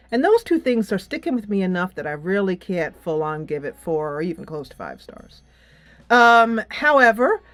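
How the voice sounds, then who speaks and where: A woman's voice: mid-range voice, no echo. A woman hosting a game channel, talking casually and playfully in slangy, informal solo commentary. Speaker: game reviewer and channel host.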